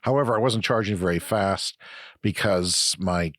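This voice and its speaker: Middle-aged podcast co-host, sick and raspy, low-pitched, meandering delivery, close dry mic. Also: male voice, normal speed, a bit bright, no room sound. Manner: rambling, self-correcting explanations. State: raspy from flu. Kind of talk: casual chat.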